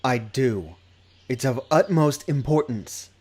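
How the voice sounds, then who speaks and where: A man's voice: mid-range voice, lively intonation, slow, a little mellow, breathy edge. A man playing an earnest, bookish young male dragon in a fantasy dub, hesitant, formal and a little fussy. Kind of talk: dubbed fantasy drama acting.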